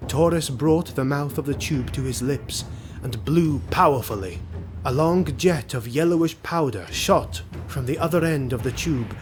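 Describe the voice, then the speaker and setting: A man narrating a fantasy audio drama in a measured, suspenseful scripted style. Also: mid-range voice, a little hiss or hum. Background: music.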